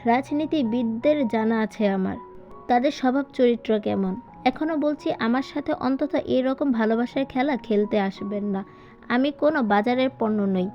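A woman's voice: fairly high pitch, normal speed, lightly acted. Narrator lady as defiant angry young woman, blunt and firm, storybook drama, muffled audio w/ music. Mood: indignant. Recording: dull lo-fi voiceover with music.

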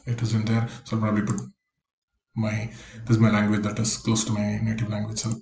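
Man with a flat, mellow voice. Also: fairly low pitch, a little fast, creaky. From India. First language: Konkani. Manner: explains step by step, plain and practical. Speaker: career coach. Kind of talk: screen-recorded tutorial walkthrough. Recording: echoey room with faint hiss.

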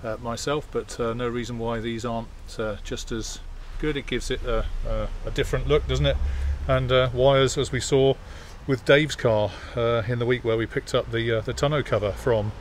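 An Englishman ambles through an outdoor car park, chatting about the vehicles in an easygoing, halting way full of ums and uhs. His voice sits at an ordinary middle pitch. A jet washer and outdoor noise come through clearly behind him on the phone recording. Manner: relaxed, hesitant with many fillers. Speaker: classic car vlogger. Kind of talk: casual walk-around commentary.

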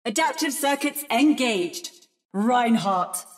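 An adaptive female robot from a video game, mimicking fighters' battle calls. The voice is high and unhurried. Delivery is precise, synthetic and theatrical. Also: lively intonation. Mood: precise, robotic. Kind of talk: video game character voice lines.

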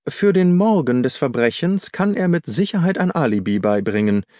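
A man's voice: fairly high pitch, animated, moderate pace, dark tone, dry recording, studio quality, natural voice. A man voicing a shrewd, methodical detective in an audiobook, reasoning in a precise, self-assured way.

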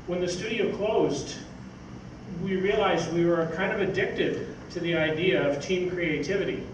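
An older man giving an informal, halting talk from notes on a stage. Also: high voice, highs cut off. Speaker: speaker, magazine editor. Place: theatre stage, indoors. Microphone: stage mic on stand, picked up by distant camera. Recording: roomy, noisy stage recording from far off.

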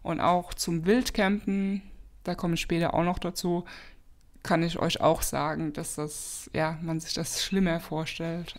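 Chatty woman with a slight cold. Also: on the low side. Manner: chatty, many fillers and restarts. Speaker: podcast host. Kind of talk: casual advice talk.

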